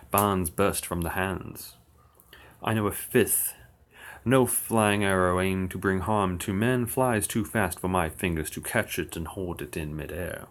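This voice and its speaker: Man, very low voice, slow measured verse recitation, livestream desk mic. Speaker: occult streamer. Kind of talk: reading verse aloud on a livestream.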